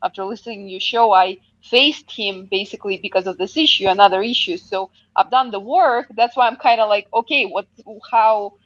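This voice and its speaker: A woman's voice: medium pitch, a little fast, hardly any pauses. A woman calls in to a talk show and sounds dull and lo-fi through the line. She explains herself hesitantly and a touch defensively in an ordinary mid-range voice. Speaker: caller. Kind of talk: call-in conversation.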